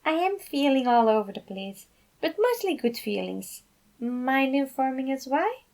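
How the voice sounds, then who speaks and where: A woman's voice: high voice, lively intonation, measured pace, a little mellow, pitched above the performer's own voice. A woman voicing a bubbly, doting fatherly man, sweet and childlike, with a gushing, affectionate manner in a story reading. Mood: happy, curious.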